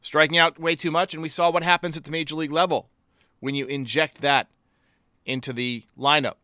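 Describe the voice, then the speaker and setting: A male sports podcaster with a fairly high, forceful voice gives his opinions bluntly and with sarcasm. The sound is dry and close, with very little room around his clear delivery. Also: fairly even intonation, a little fast, no echo. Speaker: host of a baseball fan podcast.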